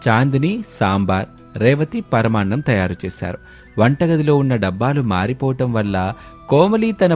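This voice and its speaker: A man's voice: mid-range voice, darkish tone. A man reading scripted story narration as a storyteller. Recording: music behind the voice.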